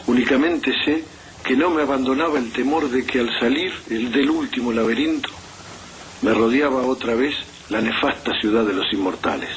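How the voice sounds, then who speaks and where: A man giving a measured, formal literary reading. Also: medium pitch, slight background noise, poor audio.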